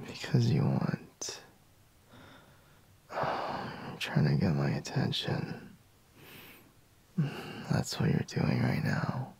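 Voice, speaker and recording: A man playing a sleepy, grumbling boyfriend in an intimate ASMR roleplay, murmuring in a breathy, husky half-whisper close to the microphone. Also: rumbling low, big pitch swings, very slow, pauses often, a little mellow, heavily acted, poor audio. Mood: teasing, mildly exasperated. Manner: drowsy, drawling, murmuring with sighs. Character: sleepy, grumbling, teasing young boyfriend woken in bed. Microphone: close microphone, dry.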